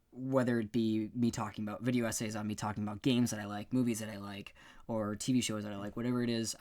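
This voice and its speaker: Male podcast member, medium pitch, conversational, rambling and modest about himself. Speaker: podcast member and amateur voice actor. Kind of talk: casual podcast chat.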